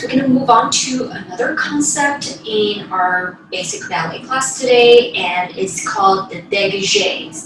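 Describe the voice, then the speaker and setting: A woman giving spoken ballet class instruction, cueing moves in an encouraging way as she demonstrates. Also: a little high. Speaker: ballet teacher. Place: small bedroom, indoors. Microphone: distant camera across the room. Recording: very echoey room, distant microphone. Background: piano music.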